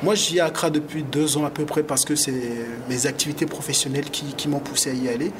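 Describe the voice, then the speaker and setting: A man speaks in a slightly higher register, earnest and animated, stumbling over repeated words as he reaches for his point. Steady background noise sits under the recording. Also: fast talker. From Togo. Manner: earnest, animated, with frequent repetitions and restarts. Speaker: rapper and activist guest. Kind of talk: conversational interview answers.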